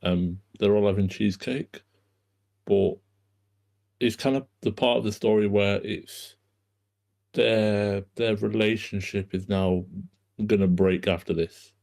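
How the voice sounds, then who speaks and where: Man, deep pitch, dark mellow tone, screenwriter, casual explaining, online call. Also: steady tone, unhurried, vocal fry, pauses often. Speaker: screenwriter introducing his script.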